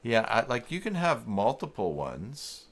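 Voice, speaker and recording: A man hosting a casual live tech tutorial, thinking aloud in a halting way and muttering to himself as he works. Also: mid-range voice, wildly swooping pitch, gravelly. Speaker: live stream host giving web tutorials.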